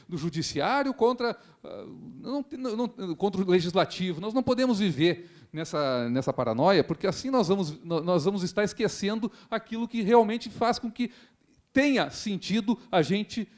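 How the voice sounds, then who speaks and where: A man, a public prosecutor giving a formal seminar speech in an earnest, rhetorical way with frequent tag questions. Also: sits high. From Rio Grande do Sul, Brazil.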